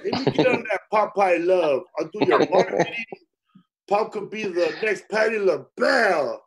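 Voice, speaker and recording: An actor playing a loud, goofy, slangy hype man in a table read. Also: high-pitched, animated, normal speed, crowd noise, low-quality recording. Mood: hyped. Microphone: video call.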